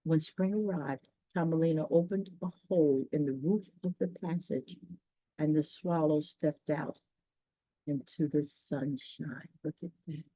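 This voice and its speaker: A woman's voice: low-pitched, a little slow, warm tone, no room sound, muffled recording. A woman reading a children's story aloud, explaining it in chatty asides and speaking directly to listeners.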